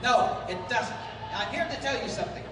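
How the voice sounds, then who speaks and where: A man acting a calm, resolute, commanding adventurer doctor in the style of a 1930s pulp radio drama. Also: very high-pitched, fairly quick, crowd noise, background noise. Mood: firm, reassuring. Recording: very echoey distant hall with music.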